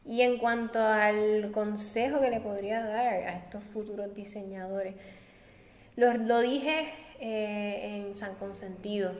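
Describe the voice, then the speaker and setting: A woman's voice: mid-range voice, slight background noise. Earnest woman in a reverberant room. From Puerto Rico. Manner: thoughtful, searching for words, earnest. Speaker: guest designer. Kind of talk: reflective interview answers. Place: seated at a table with coffee. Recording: roomy echo with long reverb.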